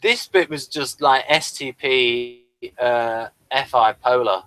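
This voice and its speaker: A man's voice: medium pitch, slow pace, a bit bright. A man hosting a casual review discussion, speaking hesitantly and probing with a contrarian bent. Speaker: podcast host reviewing TV episodes.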